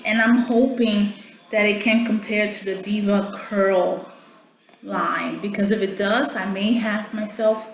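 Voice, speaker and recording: A woman whose first language is Spanish, talking casually to viewers about products she bought and often checking in with "okay?". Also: mid-range voice. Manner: chatty, keeps checking in with "okay?". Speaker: beauty vlogger. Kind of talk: casual product haul chat. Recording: echoey room, faint background hum.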